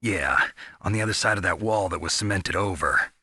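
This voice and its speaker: An actor playing a gruff, laconic veteran soldier in a video game, with a gravelly, husky voice and a terse, serious delivery. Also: male voice, low-pitched, a little fast, pushed and bright, no room sound, clean studio sound, full character voice. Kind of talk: video game radio dialogue.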